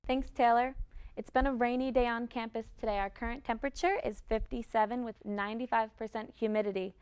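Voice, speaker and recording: A woman's voice: a little high, smooth. A young adult woman working as a student news anchor, delivering scripted campus news.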